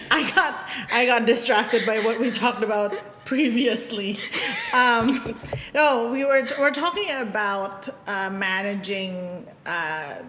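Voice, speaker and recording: Woman pastor hosting, slightly high voice, laughs a lot, echoey room. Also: expressive, slow pace, a bit bright. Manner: laughs often, loose and chatty. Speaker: pastor and podcast host. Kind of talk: casual church podcast chat. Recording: echoey room with faint rumble.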